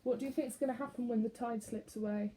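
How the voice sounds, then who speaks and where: A woman reading a rhyming picture book aloud expressively to young children, pausing to put questions to them. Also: on the high side, fairly even intonation, mellow, poor audio.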